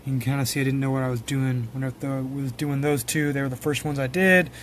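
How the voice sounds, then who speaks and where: A man's voice: mid-range voice, fast talker. A man giving casual hands-on repair commentary in an informal, self-deprecating way. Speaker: hobbyist electronics repairer. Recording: clear steady background noise.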